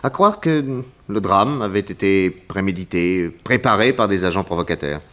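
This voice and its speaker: Composed detective in a 1960s French radio serial: lower register, courteous, methodical questioner. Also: male voice, lively intonation, moderate pace, a bit bright. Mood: thoughtful. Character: composed, probing male private detective in a crime serial.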